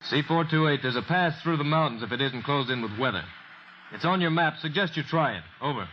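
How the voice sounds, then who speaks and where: An actor playing a chatty, wisecracking American airfield radio operator who longs for home, in an old-time radio drama. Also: male voice, a little high, brisk, natural voice. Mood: brisk, official. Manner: slangy, wisecracking, exuberant. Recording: noisy, dull old radio recording.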